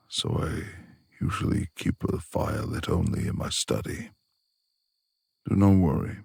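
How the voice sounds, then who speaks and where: A man playing a gruff, reclusive lord of the manor in a romantic ASMR audio roleplay, with gravelly, formal, imperious speech and a tender streak. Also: rumbling low, a little mellow, no room sound, studio quality, exaggerated voice. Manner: formal old-fashioned diction, imperious.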